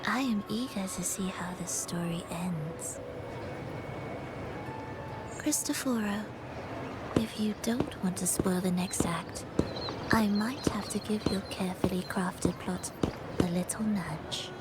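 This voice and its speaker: A woman playing an aloof, scheming villainess in a video game, speaking in a soft, breathy, theatrical and coolly teasing way over music. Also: mid-range voice, slow pace, long pauses, close to an everyday voice. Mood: intrigued. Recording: loud music behind the voice. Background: loud game music.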